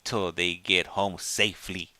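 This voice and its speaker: A middle-aged man hosting a show, chatting casually and telling stories in a halting, repetitive way. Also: low-pitched, level tone, bright, forceful tone, dry recording. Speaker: host of a prison call show. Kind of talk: casual chat.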